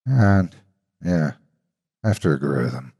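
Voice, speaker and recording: A man with a deep, low voice delivers scripted horror narration. He reads dramatically, as a storyteller presenting a tale to listeners. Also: lively intonation, a little slow, creaky, mellow, slightly rough.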